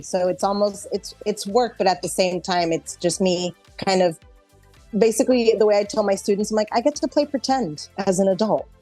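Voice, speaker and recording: A woman's voice: mid-range voice, mellow. A woman with an American accent chatting casually as an interview guest, explaining things in a reflective way. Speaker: interview guest, actor and improv performer.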